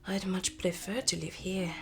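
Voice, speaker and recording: A woman voicing a kind, dignified young village woman, gentle and sincere, in a storybook tale. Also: fairly low pitch, level tone, normal speed, gravelly, faint music behind. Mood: wistful.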